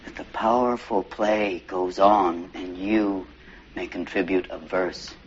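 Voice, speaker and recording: Earnest poetry teacher. Unhurried, lowish voice, muffled film sound. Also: male voice, steady tone, slight background noise. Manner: slow, earnest recitation. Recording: dull lo-fi film clip.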